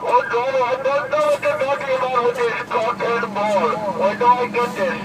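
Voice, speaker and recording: A man voicing a stubborn, naive, deadpan heckler who taunts other drivers over CB radio. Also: very high voice, moderate pace. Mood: deadpan taunting. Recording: noisy CB radio transmission.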